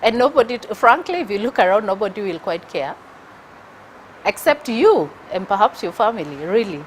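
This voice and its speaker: A middle-aged Kenyan woman talks calmly as a studio guest, weighing her words and pausing often as she thinks. A faint hiss of noise sits under her voice, and her pitch is mid-range. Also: low-quality recording. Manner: thoughtful, measured, with frequent hesitations. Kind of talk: casual interview chat.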